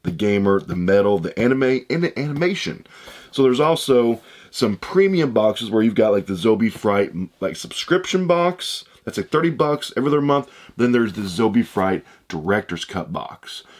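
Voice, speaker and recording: A man in his thirties with a low voice gone hoarse, since he is losing it. He talks in a chatty, rambling, upbeat way while opening a box at a desk in an indoor room. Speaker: unboxing channel host. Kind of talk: casual unboxing vlog. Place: room with shelves of shoe boxes, indoors.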